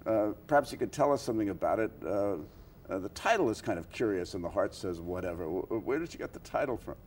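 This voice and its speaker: A man hosting a conversational interview, asking questions in a halting, hesitant way. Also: lowish voice, lively intonation, slight background noise. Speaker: interview show host.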